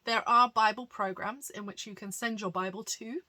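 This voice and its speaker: A woman's voice: mid-range voice, fairly even intonation, moderate pace, sharp tone. A woman pastor talking through a topic in a chatty, explanatory way, with frequent "um"s and light laughs. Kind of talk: conversational teaching monologue.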